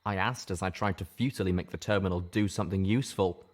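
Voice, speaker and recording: A man's voice: deep voice, quick pace. A man reading scripted first-person fiction aloud as an audiobook narrator.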